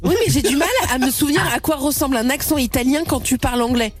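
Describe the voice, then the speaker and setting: A woman's voice: sits high, quick pace, faint music behind. A woman co-hosting a radio show, chatty and enthusiastic in casual banter.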